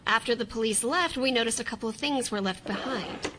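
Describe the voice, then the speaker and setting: An actress playing a puzzled apartment resident in an audio drama, speaking naturally. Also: female voice, fairly high pitch, brisk, close to an everyday voice. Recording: faint background noise.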